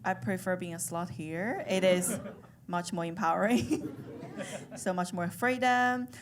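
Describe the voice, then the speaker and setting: A woman in her thirties from China with a Chinese accent, whose first language is Chinese, doing a stand-up comedy set with deadpan, self-mocking delivery. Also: fairly low pitch, a little slow, background noise. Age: 30s. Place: comedy club stage.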